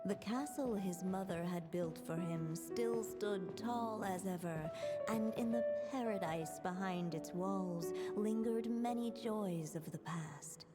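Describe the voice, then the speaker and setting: Storybook narrator woman acting, fantasy game: solemn, literary, slow, long reverb, clear music underneath. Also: medium pitch, natural voice. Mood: measured, solemn. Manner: measured, literary.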